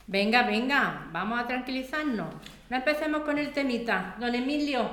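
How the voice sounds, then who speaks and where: A woman's voice: fairly high pitch, animated, normal speed, a bit bright. A woman with an accent from Spain playing a tolerant, placating neighbour in a colloquial radio drama. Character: peacemaking female neighbour, soothing and tolerant. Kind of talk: acted community radio drama. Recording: roomy, echoing sound.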